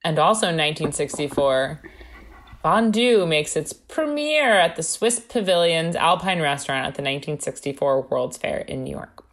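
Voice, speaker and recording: Wry, slightly low-voiced woman podcaster. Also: animated, normal speed. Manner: wry, jokey, playfully conspiratorial. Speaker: podcast co-host. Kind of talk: casual chat, reading from notes.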